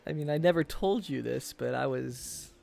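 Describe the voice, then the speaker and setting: A man playing a wistful, self-deprecating former high school sweetheart in an intimate, halting boyfriend ASMR roleplay. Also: gravelly, mellow. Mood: teasing, nostalgic. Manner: hesitant, halting, chuckles through confessions.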